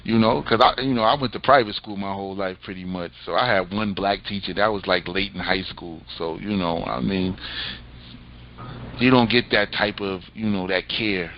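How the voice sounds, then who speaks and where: A man co-hosting an interview, speaking earnestly in a halting way with many restarts. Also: deep voice. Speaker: co-host. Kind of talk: casual interview chat. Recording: dull lo-fi sound with faint noise.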